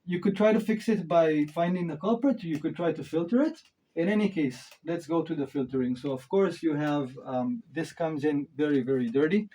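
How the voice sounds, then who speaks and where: A man's voice: fairly high pitch, normal speed, dark tone, a little hiss or hum. A man giving a casual hands-on gear review, thinking aloud in an informal way with emphatic stresses. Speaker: reviewer of modular synth gear.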